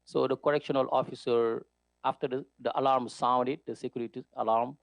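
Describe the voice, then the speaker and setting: A man from Senegal with a Senegalese French accent, a native Wolof speaker, answering interview questions in halting, earnest English. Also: mid-range voice, steady tone, vocal fry, no echo. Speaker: guest, prison nurse.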